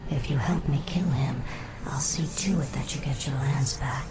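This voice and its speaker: A woman's voice: extremely low pitch, normal speed. An actress playing a vengeful, cunning undead elf queen in a video game, controlled and imperious. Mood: cold persuasion. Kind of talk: video game cutscene acting. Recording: game audio under loud music.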